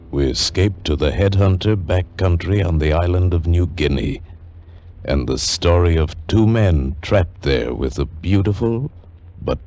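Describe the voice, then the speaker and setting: A man announcing a 1950s radio drama in a dramatic, deliberate scripted style. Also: low-pitched, warm tone. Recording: vintage 1950 radio recording.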